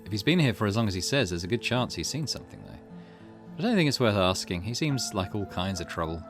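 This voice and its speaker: A wary young man investigating a curse in a visual novel, read by a man. He is reserved, pensive and understated, low in pitch, with music underneath. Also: very animated, quick pace. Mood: guarded.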